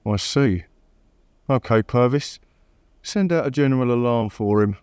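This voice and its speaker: Casting: male actor as commanding male police boss, medium pitch, clipped orders. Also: unhurried, a little mellow, clear, resonant tone, no room sound, studio quality, natural voice. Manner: authoritative, clipped. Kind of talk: audio comic acting.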